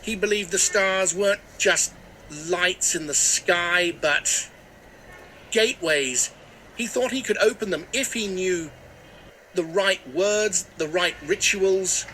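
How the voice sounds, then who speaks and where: A man playing a superstitious old small-town historian in a mystery drama, telling folksy tales with hesitant pauses. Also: on the high side, pushed and bright, a little hiss or hum, full character voice, rough recording. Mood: hushed awe. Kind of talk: scripted mystery drama interview.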